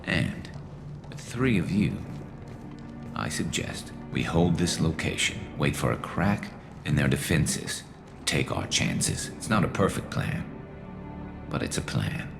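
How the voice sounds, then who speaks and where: A man playing a weary, wry alien vigilante sniper in a video game, speaking in a dry, laconic, gravelly way. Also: very animated, slow, exaggerated voice. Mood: calm, tactical. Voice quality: gravelly, low. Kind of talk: video game cutscene acting. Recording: music behind the voice.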